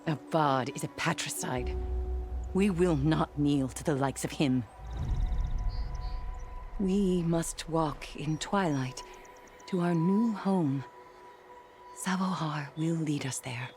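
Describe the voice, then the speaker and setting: A woman playing a devout, proud and stubbornly loyal sect follower in a video game cutscene, with solemn, measured phrasing. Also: sits low, darkish tone. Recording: prominent game music behind the voice.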